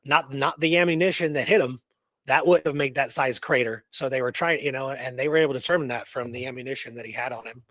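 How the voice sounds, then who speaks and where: A man's voice: mid-range voice, fast talker, fairly bright tone, dry recording. A man hosting a radio show, retelling a historical case in casual, slangy talk with emphatic repeated phrases.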